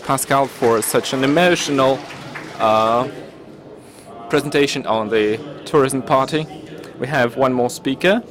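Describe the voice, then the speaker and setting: A man moderating a conference panel, introducing speakers in a polite, formal way. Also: medium pitch. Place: conference hall.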